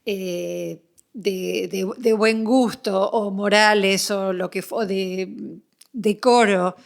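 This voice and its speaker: A woman's voice: medium pitch, steady tone, slow pace, creaky. A woman with an Argentine accent hosts a conversational academic interview, searching for her words as she rephrases ideas and puts questions. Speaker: interviewer, podcast host.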